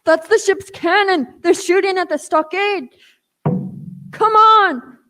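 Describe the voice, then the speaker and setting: A woman playing a polite, wary young cabin boy in a radio play, speaking in a deliberate way. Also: extremely high pitch, pushed and bright, soft background music, exaggerated voice. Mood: earnest.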